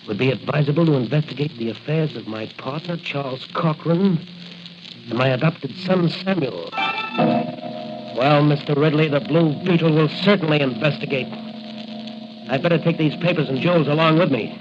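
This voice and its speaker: A man's voice: medium pitch, lightly acted. A man playing a bold, wry masked crime-fighter in 1940s radio acting style, confident and theatrical. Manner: confident, theatrical, talks to himself. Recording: scratchy, hissy, muffled 1940 radio recording.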